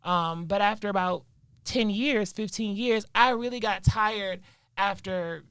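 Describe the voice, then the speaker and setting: A woman's voice: mid-range voice, measured pace, fairly bright tone, smooth, no room sound, low-quality recording. A woman from Detroit, a guest on a podcast, telling her story in a candid, emphatic way. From Detroit, Michigan. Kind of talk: casual podcast conversation.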